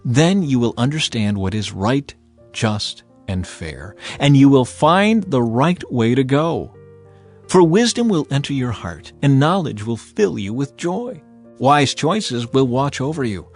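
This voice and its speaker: A man's voice: mid-range voice, very animated, a little slow. Man solemnly reading scripture over music. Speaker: Bible narrator. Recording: music behind the voice.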